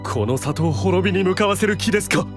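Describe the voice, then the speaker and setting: A man's voice: sits high, lively intonation, brisk, over music. A man playing a stern, easily indignant guardian of a secretive village in a fantasy voice drama, speaking formally and weightily. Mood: shocked, indignant.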